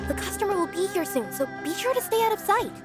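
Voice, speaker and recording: A woman's voice: extremely high pitch, expressive, fast. A woman voicing an earnest, polite young cat-girl courier with an eager, easily flustered manner in a video game. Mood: cheerful. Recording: faint music behind.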